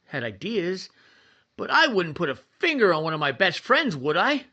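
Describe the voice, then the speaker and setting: An actor playing a defensive, gossipy witness in a 1950s radio crime drama. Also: male voice, high voice, big pitch swings, moderate pace, sharp tone, husky, exaggerated voice. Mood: defensive, indignant.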